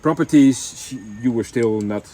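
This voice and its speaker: A man's voice: medium pitch, moderate pace, mellow, rough recording. A man telling his family's story in a casual chat, with many ums and restarts. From Netherlands. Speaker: vlogger.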